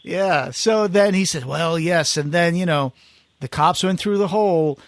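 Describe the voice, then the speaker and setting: Male podcast host, slightly high voice, dry close sound, casual storyteller who acts out quoted lines. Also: unhurried, no room sound. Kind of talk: casual chat.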